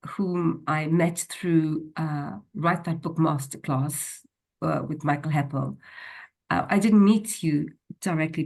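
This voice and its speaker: A woman hosts an author chat over a video call. Her voice is among the lowest for a woman, and she sounds eager yet halting, often pausing on fillers. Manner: enthusiastic, hesitant, lots of uh. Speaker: host. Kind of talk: interview hosting.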